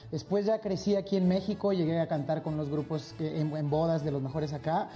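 A man's voice: a little high, steady tone, brisk, dark tone, faint music behind. A Mexican man with a Mexican accent, a guest singer chatting upbeat in an interview and repeating phrases for emphasis. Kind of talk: casual interview chat.

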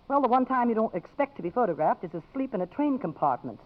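An actress playing a brisk, wry, privately sentimental congresswoman in the style of 1950s American radio drama. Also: a little high, sing-song. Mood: firm, dismissive. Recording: muffled, noisy 1950s radio broadcast recording.

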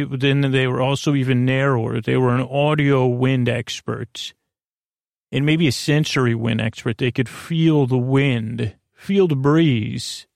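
Man, gravelly creak, dry close sound, gentle, reassuring, halting, meandering sleep-podcast monologue. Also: mid-range voice, dry recording. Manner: meandering, halting, trails off mid-thought. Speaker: podcast host. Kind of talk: rambling bedtime monologue for sleep.

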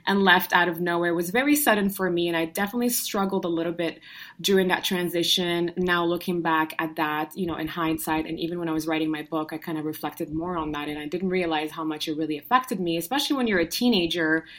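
A woman's voice: lowish voice, steady tone, quick pace, fairly bright tone. A young adult woman from Morocco, a guest telling her story in an upbeat, chatty way with frequent fillers and restarts. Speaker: guest entrepreneur. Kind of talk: casual conversational storytelling.

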